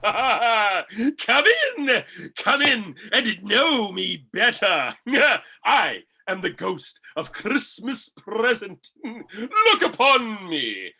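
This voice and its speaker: A man playing a jolly giant Christmas spirit in a radio play, gravelly and raspy, with theatrical drawn-out delivery. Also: high voice, very animated, bright, forceful tone, heavily acted. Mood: jovial, commanding. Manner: theatrical, big hearty laughs, drawn-out words. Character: booming jolly giant ghost of Christmas present.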